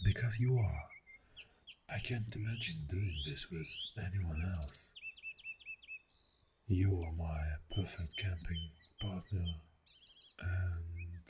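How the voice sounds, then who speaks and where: A man playing a clingy, tender boyfriend in an ASMR roleplay, speaking softly and intimately, often in a breathy whisper with lingering murmurs. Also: low voice, very noisy. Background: birdsong and outdoor ambience.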